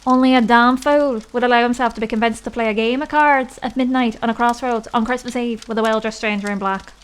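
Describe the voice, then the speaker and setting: A woman's voice: fairly high pitch, steady tone, fairly quick, clean tone, lightly acted. A woman playing an earnest villager in an audio drama, speaking in a folksy, emphatic, warning manner. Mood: ominous warning. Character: earnest, foreboding Irish village storytelling woman. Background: music.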